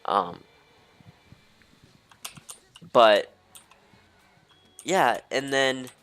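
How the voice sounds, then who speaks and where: A young man telling personal stories in a casual, rambling way, halting and easily sidetracked, leaning heavily on filler words like "like". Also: medium pitch, expressive, fairly bright tone, smooth. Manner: hesitant, halting, easily distracted, heavy use of "like". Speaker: storyteller.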